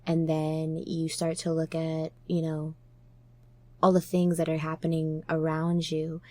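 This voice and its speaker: A woman's voice: sits low, monotone, a little slow, a little mellow, no room sound. A woman hosting a podcast, talking in a casual, reflective way. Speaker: podcast host.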